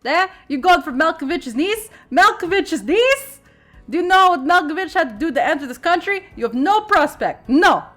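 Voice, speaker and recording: A woman's voice: very high voice, lively intonation, moderate pace, fairly bright tone, soft background music, voice pushed up. A woman voicing an eccentric mustached man with a peculiar put-on accent, blunt and boastful, talking about himself in the third person. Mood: furious. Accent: peculiar put-on foreign accent.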